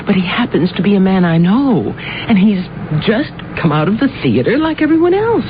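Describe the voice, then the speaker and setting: A dull old radio recording with music behind it carries an actress playing a composed woman critic. Her pitch moves expressively while her manner stays poised and gently reproving. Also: sing-song, normal speed, close to an everyday voice. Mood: puzzled, reproving. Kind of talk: radio drama acting.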